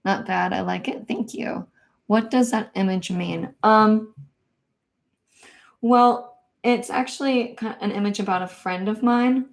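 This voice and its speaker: Soft-spoken woman chatting on a livestream. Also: mid-range voice, a little slow, creaky. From Kansas. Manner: soft-spoken, hesitant, many ums and likes. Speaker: livestream host. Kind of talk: casual livestream chat, reading viewer comments.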